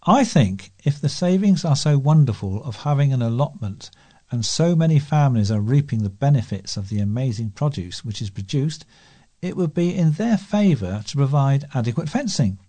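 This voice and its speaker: A man reading letters and articles aloud as a volunteer news reader, in a measured, scripted delivery. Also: mid-range voice, sing-song, warm tone.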